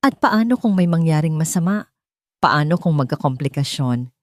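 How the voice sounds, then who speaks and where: A woman's voice: low-pitched, animated, moderate pace, a little mellow, no room sound, studio quality. A woman with a Filipino accent voicing a cold, controlling, irritated fiancée in an audio story. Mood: tense, insistent. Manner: insistent, cold.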